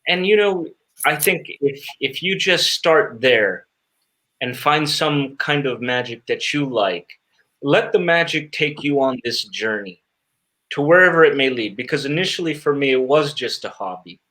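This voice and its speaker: A man answering interview questions as a guest magician, earnest and reflective, often pausing and repeating words as he searches for phrasing. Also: mid-range voice, animated, sharp tone.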